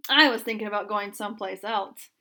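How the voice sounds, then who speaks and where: A woman's voice: medium pitch, a little fast, sharp tone, voice pushed down. A woman voicing a sheepish, evasive older brother in a storybook, careful and subdued.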